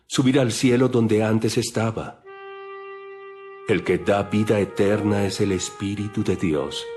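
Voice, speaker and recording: Solemn holy teacher in an audio bible drama, really slow and sure of himself, bit of music under it. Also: male voice, lowish voice, darkish tone. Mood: challenging, firm. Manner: solemn, deliberate, declarative.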